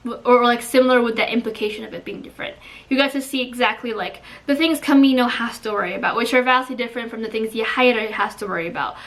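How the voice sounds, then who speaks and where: Casual female book reviewer, higher voice, chatty with lots of fillers, mic a little distant. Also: fast, a bit bright. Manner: chatty, filler-heavy with frequent "like".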